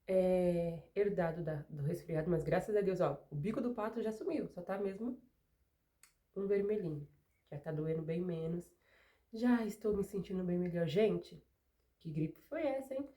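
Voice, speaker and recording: A woman's voice: fairly low pitch, normal speed, dark tone. Chatty Brazilian woman with a cold. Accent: Brazilian Portuguese. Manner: chatty, digressive, rhetorical asides. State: recovering from a cold. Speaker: craft studio owner and channel host. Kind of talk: casual chat to camera while working.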